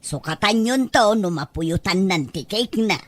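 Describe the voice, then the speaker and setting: A woman's voice: mid-range voice, lively intonation, measured pace, dry recording. A woman playing a stubborn, doting, plaintive grandmother with an Ilocano Filipino accent in an amateur audio drama. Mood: proud.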